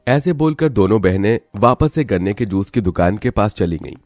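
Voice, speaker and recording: A man's voice: fairly low pitch, expressive, moderate pace, a little mellow. A man narrating a scripted moral story as a storyteller.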